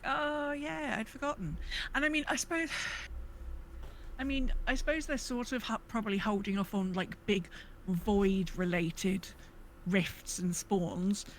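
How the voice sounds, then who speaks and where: A woman's voice: mid-range voice, a little slow, a little hiss or hum, low-quality recording. A woman co-hosting a podcast in casual gaming chat, thinking aloud in a hesitant, rambling way. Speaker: podcast co-host.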